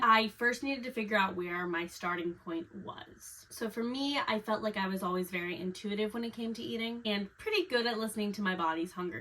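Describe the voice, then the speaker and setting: A woman's voice: mid-range voice, sharp tone, rough recording. A woman personal trainer talking in a chatty, upbeat vlog style, explaining things step by step and reading numbers off a screen. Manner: reads figures off a screen. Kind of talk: casual fitness vlog talk.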